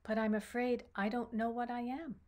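A woman's voice: on the high side, little pitch movement, moderate pace, darkish tone, voice pushed up, close to an everyday voice. A woman voicing a small, innocent young male creature in a children's story, speaking simply and earnestly. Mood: shy, unsure.

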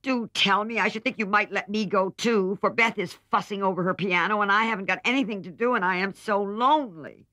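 A man's voice: brisk, hardly any pauses, bright, forceful tone, dry recording. A man voicing a young girl who coaxes and whines in a storybook reading. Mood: pleading, petulant. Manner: coaxing, high and whining. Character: young girl, whiny and pleading.

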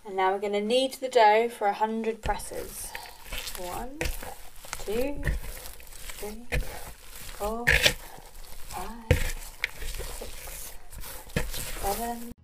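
A young woman cheerfully walking through a recipe step by step in her home kitchen, in a measured, deliberate way. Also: a little high, very noisy. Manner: slow, cheerful, measured instructions. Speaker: vlogger cooking at home.

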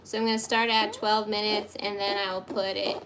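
A woman's voice: medium pitch, flat delivery, normal speed, noisy background. A woman in her thirties chatting and giggling while she bakes at her kitchen table, talking into a phone camera during a livestream. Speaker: livestream baking host. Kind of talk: casual chat while baking. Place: home kitchen table, indoors.

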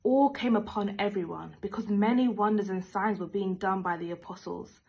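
A woman's voice: medium pitch, normal speed, gravelly, a little mellow, husky. A young woman reads a passage of scripture in a middle-register voice. She is recorded indoors on a laptop or phone. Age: young adult. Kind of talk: scripture reading. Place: plain room indoors.

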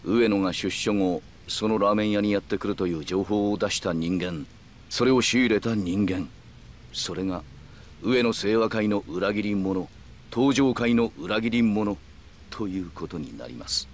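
An actor playing a calm, calculating middle-aged yakuza man who speaks in polite, measured, formal phrases in a video game. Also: fairly low pitch, slight background noise. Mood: grave, explanatory. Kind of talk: Japanese video game cutscene acting.

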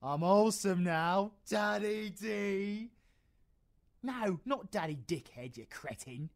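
A man's voice: high voice, lively intonation, slow, no echo, studio quality, exaggerated voice. A British man playing a cocky, foul-mouthed yet protective supervillain in an audio roleplay. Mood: cocky, playful. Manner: slangy, swearing, swinging from murmurs to outbursts. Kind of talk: audio roleplay, intimate ASMR acting.

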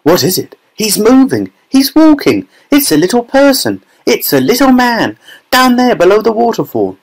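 Storybook young girl, voiced by a man: very high, shrill, frantic, screaming delivery. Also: moderate pace, full character voice. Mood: frantic excitement. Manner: screaming, breathlessly excited.

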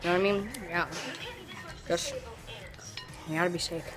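A woman's voice: on the low side, fairly even intonation, normal speed. Young woman, home cooking vlog, casual filler-heavy chat, camera at arm's length. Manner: chatty, lots of "like" and "you know". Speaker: cooking vlogger. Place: home kitchen, indoors. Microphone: phone or camera at arm's length. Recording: music playing underneath.

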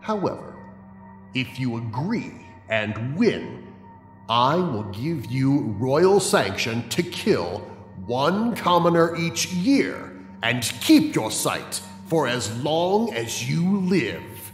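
Echoing hall, imperious king, formal, lively intonation, horror audio drama. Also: mid-range voice, slow, soft background music. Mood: regal, commanding. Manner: formal, proclaiming. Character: imperious male king granting cruel games. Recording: echoey hall with long reverb.

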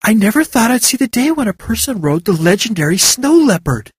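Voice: mid-range voice, very animated, fairly quick, breathy edge, no echo, studio quality, pitched above the performer's own voice. A narrator voicing an earnest, respectful stranger woman in a fantasy story. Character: stranger woman, eager to please.

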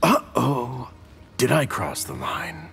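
The smug, sarcastic super-man in a fighting game, slowly toying with his next victim before the round starts. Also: mid-range voice, wildly swooping pitch, vocal fry, fairly bright tone, soft background music, full character voice. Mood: mocking. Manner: mocking, condescending, drawling sarcasm. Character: smug, menacing, narcissistic caped male superhero. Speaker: video game villain actor. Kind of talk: video game fight taunts.

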